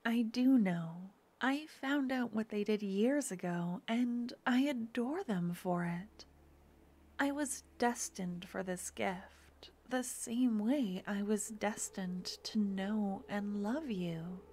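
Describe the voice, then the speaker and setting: A woman voicing a tender, devoted woman in love, speaking softly and often in a whisper straight to the listener. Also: mid-range voice, slow. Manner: soft, intimate, often whispering. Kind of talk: intimate audio roleplay to the listener.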